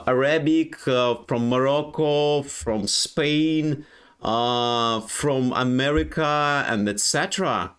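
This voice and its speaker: A young Ukrainian man with a Ukrainian accent, speaking English as a second language in a halting personal monologue full of fillers and restarts. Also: on the high side, a bit bright, clear, resonant tone. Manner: halting, many fillers and restarts, non-native grammar. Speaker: streamer.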